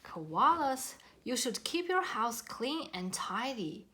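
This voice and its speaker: A woman's voice: fairly high pitch, sing-song, slow, sharp tone, a little hiss or hum, voice pushed up, heavily acted. A woman voicing earnest, bossy animal friends in a children's storybook, speaking in an instructive, emphatic way. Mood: firm, encouraging.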